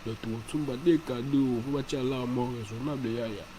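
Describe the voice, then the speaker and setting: A man's voice: medium pitch, fairly even intonation, moderate pace, noisy background, natural voice. A man with a Congolese accent playing a chatty, streetwise cartoon character. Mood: insistent. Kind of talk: animated cartoon dialogue in Lingala and French.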